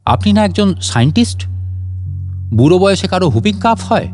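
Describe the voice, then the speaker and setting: A man voicing a grumpy, impatient ghost intruder with a harsh, rasping voice in a story. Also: high-pitched, very animated, normal speed, darkish tone, faint music behind, pitched above the performer's own voice. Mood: annoyed. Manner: curt, impatient. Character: grumpy, irritable male ghost intruder in the dark.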